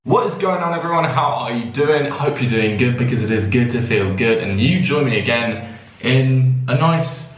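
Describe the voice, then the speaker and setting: A man's voice: mid-range voice, expressive, normal speed. A young British man telling a casual story to viewers in a chatty, rambling way that often interrupts itself. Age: young adult. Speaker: vlogger. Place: indoors in a bathtub in a bathroom. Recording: echoey bathroom with music behind.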